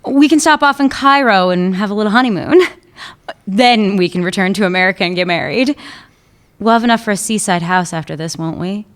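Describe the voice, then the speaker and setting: A woman playing a dreamy, smooth-voiced lover. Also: mid-range voice, a little fast, close to an everyday voice. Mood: wistful. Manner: playful, wistful. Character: dreamy young woman Amber, the lost lover.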